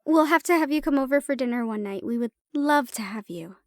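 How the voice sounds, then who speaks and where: A woman playing a gracious, loyal young lady in a romantic fantasy audio roleplay, speaking in a polite, gentle way. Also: high voice, animated, fairly quick. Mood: welcoming. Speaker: voice actor.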